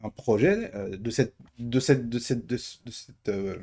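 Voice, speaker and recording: A man chats casually as host of a panel. His voice sits at a middle pitch, and he often circles on a word before finishing the phrase. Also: slow pace, dark tone, husky. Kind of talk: casual chat, prompting co-panelists.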